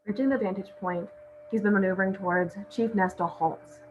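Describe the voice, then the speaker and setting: A woman's voice: mid-range voice, steady tone, fairly quick. A woman reading stage directions aloud as scripted narration at a table read. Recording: muffled, noisy lo-fi recording.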